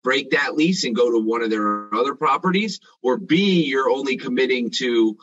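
A man's voice: medium pitch, normal speed, fairly bright tone, breathy edge, dry recording, highs cut off, faint music behind. A man in his thirties coaching business owners on a video call, talking in a confident, emphatic way. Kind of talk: casual group video call. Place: dim room at home.

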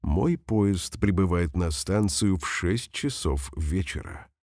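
A man reading example sentences slowly and carefully for language learners, saying each one twice. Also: low-pitched, animated, a little mellow, no room sound, clean studio sound. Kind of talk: slow repeated phrase reading for learners.

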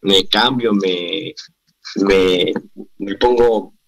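A man's voice: low voice, extremely slow, vocal fry, poor audio. A man in his forties with a Mexican accent, a guest actor speaking earnestly over a video call and often restarting his sentences. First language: Spanish. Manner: earnest, reflective, many restarts. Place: indoor room.

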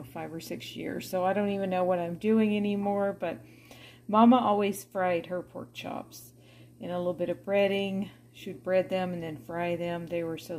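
A middle-aged woman with a somewhat low voice narrates her cooking in a relaxed, chatty way. She sounds homey and unhurried as she walks through each step. Faint kitchen background noise sits under her voice. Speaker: cooking vlogger. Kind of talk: casual cook-with-me narration. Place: home kitchen.

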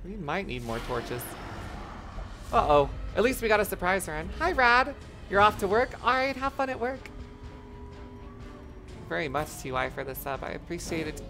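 A game streamer with a voice going hoarse, chatting casually, swearing a lot and reacting loudly to the game. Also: mid-range voice, normal speed, long pauses, over music. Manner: excitable, profane, reacts loudly to gameplay. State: voice getting hoarse. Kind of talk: casual live-stream commentary.

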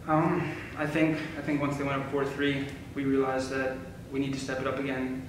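A man's voice: mid-range voice, completely flat delivery, normal speed. A young man, a college hockey player, giving plain postgame answers full of fillers. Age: young adult. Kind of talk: postgame interview. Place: press room at a table. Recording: echoey room from a distance, background hiss.

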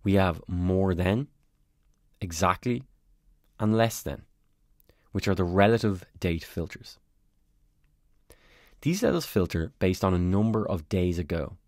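A man calmly narrating a step-by-step software tutorial as a voice-over on a screen recording. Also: low voice, measured pace, long pauses, no echo, studio quality. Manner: calm, step-by-step explanatory.

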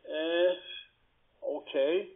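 A man with a foreign accent, a non-native speaker, talking haltingly and repetitively on a sales call. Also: a little high, fairly even intonation. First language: not German. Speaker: call-centre trader. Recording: tinny narrowband phone line.

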